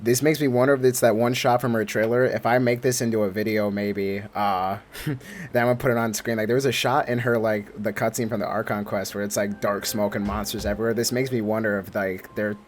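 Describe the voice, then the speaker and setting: A man's voice: lowish voice, steady tone, fairly quick, slight background noise. A man giving casual, slangy reaction commentary as a gaming streamer. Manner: slangy, informal.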